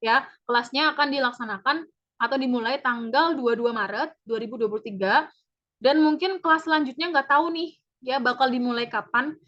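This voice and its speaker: A woman, a public speaking trainer giving a chatty, upbeat webinar pitch and repeating key points. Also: fairly quick, fairly bright tone. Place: online webinar. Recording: dull lo-fi webinar audio.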